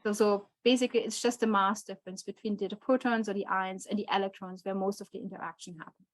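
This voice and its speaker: A woman's voice: mid-range voice, fairly even intonation, quick pace, no echo, poor audio. German-accented woman lecturing, dry sound. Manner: hesitant, many fillers and restarts. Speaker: physicist giving a talk. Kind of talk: online lecture presentation.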